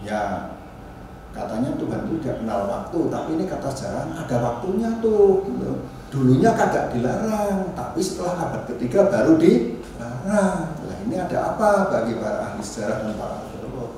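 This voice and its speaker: A man giving an informal academic lecture as a university teacher, halting and restarting, often adding tag questions. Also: medium pitch, big pitch swings, dark tone. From Indonesia. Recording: very echoey room, distant mic, faint noise.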